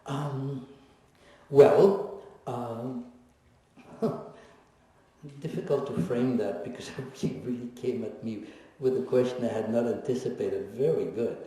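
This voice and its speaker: A man in his seventies speaks in a mellow, darker voice, reflective and gently teasing, with many pauses. He is picked up from a distance, and the sound rings through a large echoey hall. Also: mid-range voice. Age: 70s. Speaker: guest speaker, Holocaust survivor. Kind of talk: personal testimony in a lecture Q&A. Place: lecture hall. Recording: echoey hall recorded from far off.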